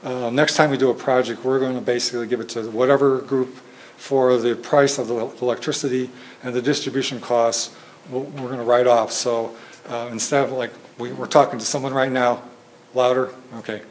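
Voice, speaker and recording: A soft-spoken man giving an informal talk with slides to a training group, hesitant with many fillers and restarts, recorded on a phone in a meeting room. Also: medium pitch, flat delivery, brisk, slight background noise, poor audio. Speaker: presenter at a training session. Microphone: phone camera in the room.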